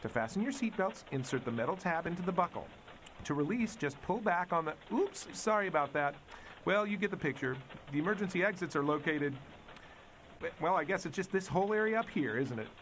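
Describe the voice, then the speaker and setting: A man voicing an excited young boy showman who announces grandly and makes guitar sounds with his mouth. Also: sits high, fairly quick, slight background noise, voice pushed up. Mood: chirpy.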